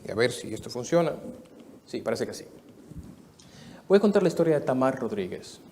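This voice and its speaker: A man in his thirties from the Dominican Republic, preaching a sermon testimony to a live church audience in an earnest storytelling way, moving between soft and emphatic. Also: mid-range voice, big pitch swings, a little fast, a little hiss or hum.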